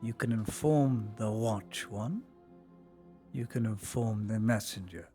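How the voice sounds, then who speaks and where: A man voicing a cold, scheming male inquisitor lord who delivers measured, menacing threats in a low voice. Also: mellow, soft background music. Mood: coldly menacing. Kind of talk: live roleplay acting.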